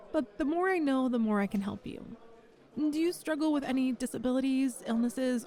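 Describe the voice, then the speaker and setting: A woman playing a kind, flirty female bar manager in an ASMR roleplay, gently reassuring and encouraging a nervous job applicant. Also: fairly high pitch, lightly acted. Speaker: voice actor in an audio roleplay. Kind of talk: ASMR roleplay, one-sided conversation.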